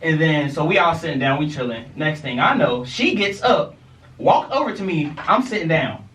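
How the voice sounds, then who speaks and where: A young man co-hosting a podcast, telling a childhood story in an animated, slangy, laughing way. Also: a little high, normal speed. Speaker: podcast co-host. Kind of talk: casual storytelling. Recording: roomy, distant mic with faint noise.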